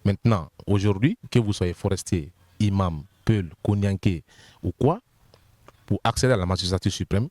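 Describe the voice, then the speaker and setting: A man's voice: sits low, moderate pace, darkish tone, no room sound. A man with a Guinean French accent, an official of the constitutional body, methodically explaining points one by one in an interview and sometimes repeating words as he searches.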